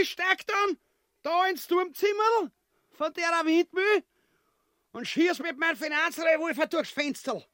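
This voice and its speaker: A man's voice: very high-pitched, fairly bright tone, no room sound, clean studio sound, heavily acted. An actor playing a vulgar, cowardly, bombastic king in a grotesque comedy, blustering and whining with an Austrian accent. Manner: blustering, whining, swooping between mutters and bellows. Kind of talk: grotesque comic radio-play acting.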